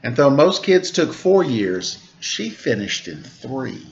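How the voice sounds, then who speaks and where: A man reads a rhyming children's story aloud with a bouncing, sing-song cadence, lifting his voice for the quoted lines. His voice sits at a middle pitch. The recording sounds a little dull and muffled. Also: big pitch swings, slow pace, slight background noise. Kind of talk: rhyming picture-book read-aloud.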